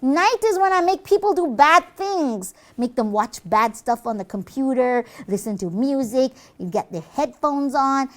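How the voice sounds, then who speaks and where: Casting: cheeky devil played by a woman for a puppet show, high voice, very animated, boastful and full of wisecracks. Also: unhurried, few pauses. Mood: loud, exasperated. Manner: brash, wisecracking, exclamatory. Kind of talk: comic educational puppet show.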